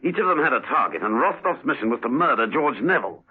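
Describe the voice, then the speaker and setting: A suave secret agent for 1970s radio, questions briskly and with authority, his pitch moving freely. The voice is slightly husky on a narrow, muffled old broadcast recording. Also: big pitch swings. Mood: grave, businesslike. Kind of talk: 1970s radio drama acting.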